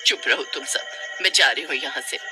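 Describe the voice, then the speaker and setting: A man's voice: medium pitch, brisk, music in the background, pitched below the performer's own voice, close to an everyday voice. A man voicing a humiliated older mother-in-law in a cartoon story, wailing and defensive.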